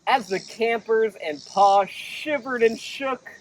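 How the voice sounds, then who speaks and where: A man reading a picture book aloud to children in an expressive, theatrical way. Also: high voice, slow pace, recorded from a distance, a little hiss or hum, low-quality recording. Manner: theatrical, animated. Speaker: children's storytime reader.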